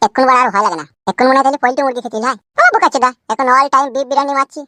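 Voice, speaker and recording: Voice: sits high, very fast, sharp tone, no room sound. A performer voicing a cheeky, foul-mouthed village cartoon character with a rural West Bengal accent, arguing in brash slang. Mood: cheeky boasting. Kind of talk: comic cartoon dialogue.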